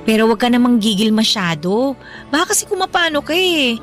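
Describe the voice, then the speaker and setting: A woman playing a caring, supportive young wife in a radio drama, speaking gently and conversationally. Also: fairly high pitch, big pitch swings, fairly quick, soft background music. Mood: gentle, reassuring. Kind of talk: Tagalog radio drama dialogue.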